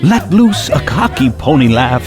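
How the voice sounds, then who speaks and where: A man narrating a radio drama as a theatrical storyteller, with measured, dramatic phrasing. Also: mid-range voice, very animated, over music.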